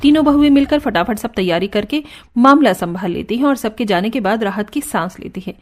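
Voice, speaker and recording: A woman narrating an animated story in a smooth, even storytelling manner. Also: fairly high pitch, brisk.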